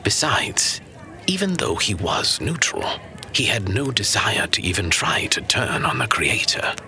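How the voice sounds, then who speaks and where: An actor playing a seasoned, patient master storyteller teaching his pupil in a fantasy audio drama, with measured, theatrical, breathy delivery. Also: fairly low pitch, very animated, pushed and bright, in a whisper, exaggerated voice. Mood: dramatic explaining.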